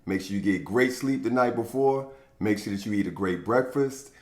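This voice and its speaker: A man hosting a children's storytime, speaking to young listeners in an encouraging, expressive way as he chats and reads aloud. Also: fairly low pitch, normal speed. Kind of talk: children's read-aloud and upbeat chat to young viewers.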